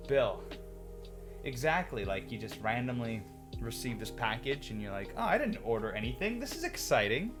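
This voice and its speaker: A man chatting on a livestream, loud-voiced and animated, reacting to chat and rambling through opinionated stories. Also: medium pitch, moderate pace. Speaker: streamer. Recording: music playing clearly behind voice. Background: music.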